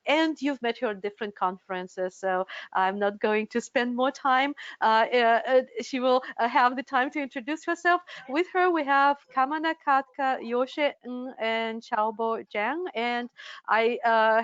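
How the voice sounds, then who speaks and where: Hesitant high-voiced woman hosting a webinar. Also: clean tone, dry recording. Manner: hesitant, many filler pauses. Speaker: webinar host. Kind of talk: welcoming introductions on a video call. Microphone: video call. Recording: dull lo-fi video call audio.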